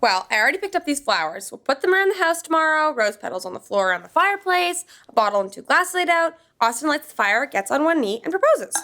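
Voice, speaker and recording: An actress playing a bossy, excitable young woman who takes charge in a podcast sitcom. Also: sits high, fast talker, hardly any pauses, heavily acted. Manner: bossy, excitable, self-assured. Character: Laura, bossy, eager young woman planner.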